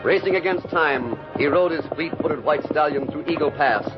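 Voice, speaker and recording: An actor narrating an old radio western in a stately, suspenseful announcer's manner. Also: male voice, on the high side, normal speed, natural voice. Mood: dramatic. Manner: stately, suspenseful, carefully enunciated. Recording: dull vintage radio, loud music behind.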